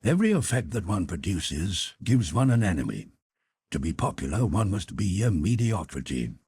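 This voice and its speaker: A man's voice: deep voice, sing-song, normal speed, a little mellow, slightly rough, dry recording, voice pushed up, exaggerated voice. A man reading a novel aloud, voicing a cynical, worldly English lord who drawls out clever sayings. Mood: languid. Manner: languid, worldly, drawling aphorisms. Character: cynical epigram-loving English lord, middle-aged. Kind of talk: audiobook reading.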